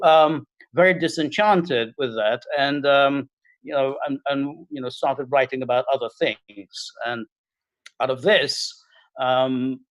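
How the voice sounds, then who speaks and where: A middle-aged man from Hungary, talking as a guest on a video call and speaking haltingly with many fillers and restarts. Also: mid-range voice, dry recording, poor audio. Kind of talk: casual interview talk.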